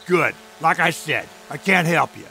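An actor playing a suspicious, prickly old farmer in an audio drama, folksy and blunt. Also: male voice, on the high side, expressive, measured pace, creaky, bright, forceful tone, slight background noise, heavily acted, rough recording. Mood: defensive, stern. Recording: faint outdoor insect ambience behind.

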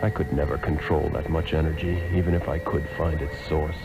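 An actor playing a resolute, principled heroic sorcerer, serious and pondering, in a 1960s radio drama. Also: male voice, extremely low pitch, flat delivery, brisk. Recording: dull lo-fi old radio, loud music.

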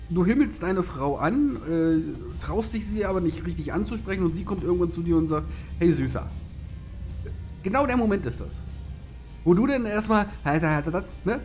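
A man's voice: high voice, darkish tone. A man giving rambling, filler-heavy gameplay commentary as a let's play host. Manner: chatty, filler-heavy, self-deprecating.

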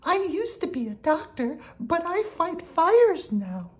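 Woman as a storybook lady doctor, very high-pitched, gentle, polite, eager to help. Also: very animated, slow, full character voice. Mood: helpful, polite. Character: helpful female royal doctor turned firefighter, storybook.

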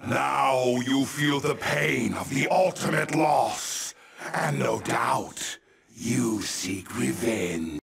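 A man playing a menacing, gloating demon lord in a video game, with a husky, gravelly voice and a grandiose, commanding, theatrical delivery. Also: mid-range voice, drawn-out words, fairly bright tone, heavily acted. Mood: commanding fury. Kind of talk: video game villain acting.

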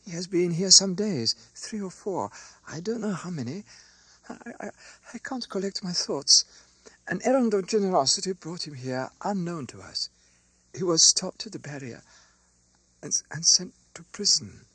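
A man's voice: high voice, lively intonation, sharp tone, husky, no room sound, voice pushed up, exaggerated voice. Man voicing a panting, distraught young wife. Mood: distraught, pleading. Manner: panting, halting, imploring.

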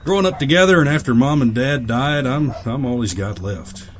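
An actor in an audio drama playing a gruff, protective brother and airship man, folksy and insistent. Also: medium pitch, expressive, moderate pace, a little hiss or hum, natural voice. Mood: pleading, insistent. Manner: folksy, plain-spoken, insistent.

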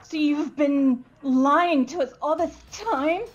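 A woman's voice: high voice, slow pace, soft background music. A woman voicing a jittery, sour, accusing teenage girl in a visual novel game, nervous and snide, on a muffled microphone. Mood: suspicious.

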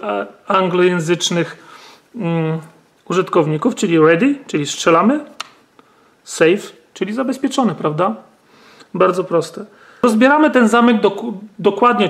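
A man, demonstrating and explaining a rifle step by step, with many fillers and tag questions. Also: sits high. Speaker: gun channel host. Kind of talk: hands-on firearm demonstration.

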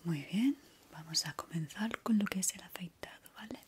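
A young woman in a gentle, chatty, reassuring ASMR roleplay as a barber, whispering breathily close to the microphone. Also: lowish voice, moderate pace, slightly rough. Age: young adult.

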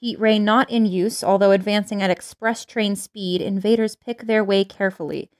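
A serious female announcer for a radio play, acted by a woman. She delivers urgent invasion bulletins formally and with measured gravity. Her voice sits at an average pitch. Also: fairly even intonation, lightly acted. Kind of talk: radio drama news bulletin.

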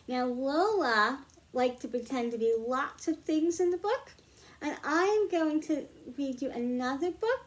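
Slow, high-voiced woman reading to kids. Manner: slow, clear, gently explaining to young children. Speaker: children's librarian. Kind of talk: storytime read-aloud for kids. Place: home room with bookshelves.

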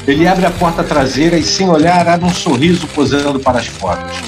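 A man's voice: on the high side, a little fast, over music. A man reading scripted narration for an audio drama as its narrator.

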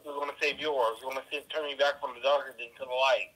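A man's voice: mid-range voice, fairly even intonation, fast, a bit bright. A man calling in over a phone line, defending himself insistently and repeating his points. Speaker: caller, church deacon.

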